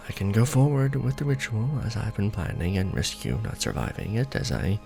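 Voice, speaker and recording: A man playing a courtly, conflicted vampire lord in a romantic ASMR fantasy roleplay, speaking formally and with measured care. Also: huge pitch swings, mellow, soft background music, heavily acted. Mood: torn, grave. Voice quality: low, creaky. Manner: formal, measured, hesitant when tender. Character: courtly, conflicted vampire lord, tender toward his beloved.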